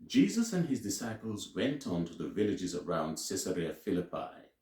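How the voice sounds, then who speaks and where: A man reading scripture aloud as a teacher, in a solemn, deliberate and emphatic way with dramatic pauses. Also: low voice, sing-song, mellow. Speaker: Bible reader and teacher. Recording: roomy, echoing sound.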